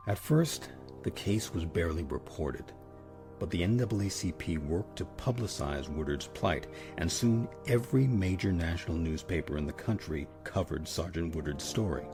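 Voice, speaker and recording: A man with a husky voice delivering scripted documentary narration as the host. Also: low voice, animated, normal speed, over music.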